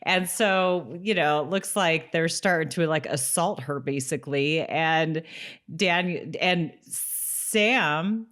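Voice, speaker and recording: A woman's voice: sits low, slow pace, fairly bright tone. A woman co-hosting a podcast, chatting casually in a talkative way that breaks easily into laughter. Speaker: podcast co-host. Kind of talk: casual chat recapping a TV episode, some scripted summary read aloud.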